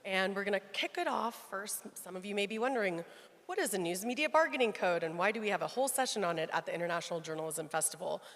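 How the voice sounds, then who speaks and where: A woman's voice: medium pitch, expressive, fast talker, fairly bright tone. A woman moderating a conference panel, welcoming guests and introducing speakers in a conversational way. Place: conference hall. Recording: echoey conference hall.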